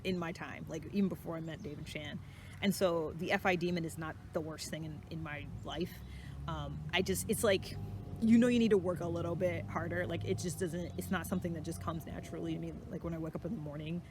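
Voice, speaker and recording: Vlog host, mid-pitched voice, conversational and self-reflective, thinking aloud over clearly noisy vehicle-like ambience. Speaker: channel host. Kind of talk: casual reflective solo vlog about personality typing.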